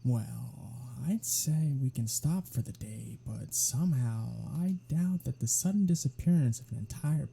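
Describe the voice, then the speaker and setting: A sardonic vampire predator, acted out by a performer in an ASMR audio drama, teasing and unrepentant. The voice is very husky and breathy, with a dark, soft tone. The words come slowly and casually, with swearing mixed in. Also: medium pitch, exaggerated voice. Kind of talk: ASMR roleplay audio drama, one-sided dialogue.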